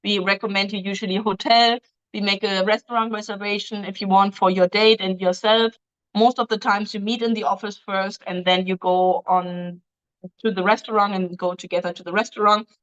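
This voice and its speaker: A woman hosts a casual live stream, reading out viewer questions and answering at length. Her voice sits at a middle pitch, and her English runs loose, with frequent ums and restarts. The audio is dull and lo-fi. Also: level tone, brisk, fairly bright tone. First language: German. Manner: reads questions aloud, answers in loose non-native phrasing with many ums. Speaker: dating agency host answering viewer questions.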